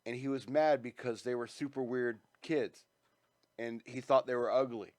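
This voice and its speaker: A man in his forties co-hosting a crude casual talk show, rambling through stories and mimicking people in a high whiny voice. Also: steady tone, normal speed, darkish tone, no echo. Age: 40s. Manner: rambling storyteller, acts out quotes in a high whiny voice. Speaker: podcast co-host.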